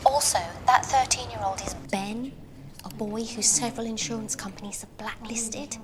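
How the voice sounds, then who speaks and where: A woman's voice: fairly high pitch, fairly even intonation, moderate pace, noisy background, natural voice. An actress playing a flustered mother who stammers and trips over her words, with a sarcastic edge. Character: flustered middle-aged mum juggling calls. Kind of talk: sitcom acting.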